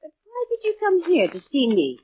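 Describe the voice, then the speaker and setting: A woman's voice: high voice, wildly swooping pitch, normal speed. An actress playing a stubbornly loyal older woman, a former servant, firm and insistent in an old-time radio detective drama. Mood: chatty, curious. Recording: muffled old radio recording, faint hiss.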